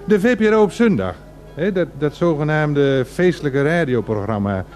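An actor playing a stubborn, grumbling local man with a Groningen Dutch accent in a radio drama, blunt, gruff and repetitive. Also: a little high, animated, normal speed, a little mellow, faint music behind, natural voice. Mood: indignant. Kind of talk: radio drama street interview.

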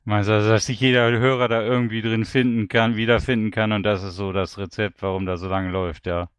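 A man's voice: on the low side, little pitch movement, fast talker, clean tone, no room sound. A man asking questions as an interviewer in a casual, conversational style.

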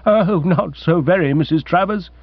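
A man's voice: sits high, normal speed, no room sound, dull audio. A man voicing a meek, flustered young man with a stammering, apologetic manner in a comic audiobook. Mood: apologetic, nervous. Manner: stammering, conciliatory.

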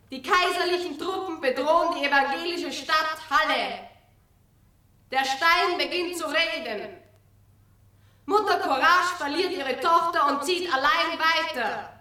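Very high-pitched echoing announcer. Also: female voice, slow, pushed and bright, heavily acted. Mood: declaiming. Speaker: narrator announcing scenes. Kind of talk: scripted theatrical narration. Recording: echoing, distant from microphone.

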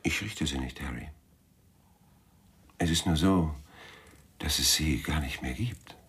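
Weary private detective, extremely low husky voice, bitter, measured, hard-boiled radio drama. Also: male voice, lively intonation, a bit bright, heavily acted. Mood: bitter, resigned. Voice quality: gravelly, husky. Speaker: lead actor and narrator in a radio crime drama.

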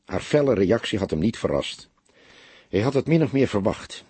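A man's voice: fairly low pitch, very animated, moderate pace, mellow, breathy edge, dry recording. A man reading a detective novel as an audiobook, in dramatic scripted narration.

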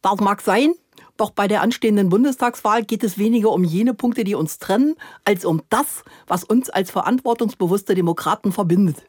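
A woman acting as a statesmanlike female chancellor with a mid-pitched voice. She talks in formal, measured phrases for a satirical radio interview, recorded close and dry. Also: a little mellow, no room sound, lightly acted. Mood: measured, stern.

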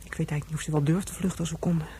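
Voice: mid-range voice, fairly even intonation, very fast, dark tone, slight background noise, lightly acted. A performer playing a frustrated, restless young captive in a radio drama, brooding and thinking aloud.

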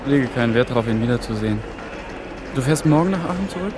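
A man's voice: mid-range voice, lively intonation, fast talker, background noise, natural voice. An actor playing a brooding, firm but doubting man in a radio play. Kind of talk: radio play acting, intimate monologue and dialogue. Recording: loud music underneath. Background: loud music.

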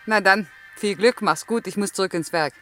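A woman's voice: medium pitch, moderate pace, sharp tone, faint music behind, natural voice. An actress in a German radio drama playing a curt, dismissive woman who talks with dry sarcasm. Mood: sardonic, brisk. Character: curt, mocking East German woman brushing off an old flame.

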